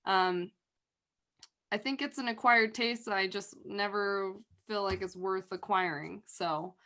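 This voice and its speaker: A young woman hosting a casual livestream, chatty and giggly with a naturally loud voice and lots of fillers. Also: medium pitch, unhurried. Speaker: livestream host.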